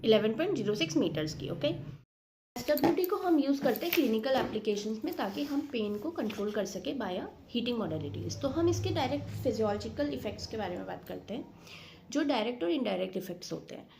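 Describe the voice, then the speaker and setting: A woman's voice: a little high, big pitch swings, a little fast, creaky, mellow, slight background noise. A woman with an Indian accent giving an informal explanatory lecture as a teacher, mixing in English technical terms and often checking in. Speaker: physiotherapy teacher.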